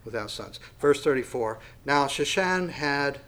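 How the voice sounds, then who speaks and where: A man in his sixties leads an informal Bible study, speaking at an average pitch. He talks in a folksy, self-deprecating way, often restarting sentences and filling pauses with "uh.". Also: lively intonation, bright, forceful tone. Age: 60s. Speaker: pastor.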